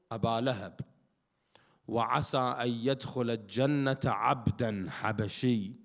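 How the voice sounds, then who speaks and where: A man who was born in Iraq and grew up in Canada, delivering a sermon at a Muharram gathering. He recites Arabic verses and translates them, and moves from quiet reflection to loud, emphatic declaration. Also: mid-range voice.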